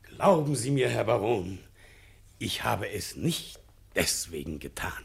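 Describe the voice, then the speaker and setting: An actor playing a self-important, eager burgher of a morality society in a radio comedy, formal and emphatic. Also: male voice, lowish voice, very animated, a little slow, gravelly, heavily acted. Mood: solemn, pompous. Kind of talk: classic German radio comedy acting.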